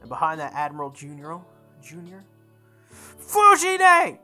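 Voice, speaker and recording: A woman playing through a visual novel, reading its text aloud with expressive, goofy casual commentary, sometimes correcting her stumbles. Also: low-pitched, a little slow, soft background music. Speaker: host of a visual novel playthrough.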